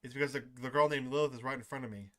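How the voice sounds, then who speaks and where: A man livestreaming a visual novel, switching between excitable, repetitive casual chat and reading the story text aloud. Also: medium pitch, racing. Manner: excitable, repeats himself. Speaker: streamer playing a visual novel.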